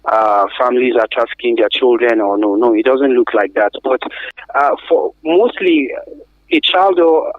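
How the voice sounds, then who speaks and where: A phone-like, narrow sound carries a Nigerian man's voice at a medium pitch, very dry and free of room echo. He explains as a guest expert, stressing his points and posing questions that he answers himself. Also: moderate pace. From Africa. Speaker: guest expert on survivors of trafficking. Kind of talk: radio interview, explanatory. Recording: thin, phone-like radio line.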